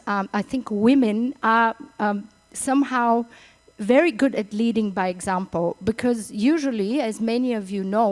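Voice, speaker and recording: A woman's voice: mid-range voice, darkish tone. A middle-aged woman with a Bulgarian accent giving a conference speech, with hesitant phrasing and repeated words. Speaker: conference speaker. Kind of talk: opening speech at a summit.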